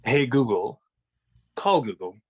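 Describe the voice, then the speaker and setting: An intellectual, prickly detective of thirty , played by a man in a cartoon comedy. He speaks formally and precisely at a medium pitch. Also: lively intonation, slow pace, a little mellow, slightly rough, heavily acted. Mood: exasperated. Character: intellectual thirty-year-old male paranormal detective, prickly. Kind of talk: animated comedy table read.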